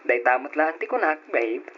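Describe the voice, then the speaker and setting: A teasing, affectionate young boyfriend, as a man plays him, with a lively, fairly high voice that swings around freely. A dull lo-fi radio drama recording with a faint hiss underneath. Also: takes it slowly, full character voice. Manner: teasing, playful. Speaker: boyfriend character.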